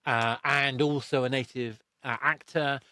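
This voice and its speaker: A man's voice: mid-range voice, steady tone, unhurried, bright, forceful tone, dry recording. A man hosting a podcast, interviewing a guest in a conversational way. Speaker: podcast host.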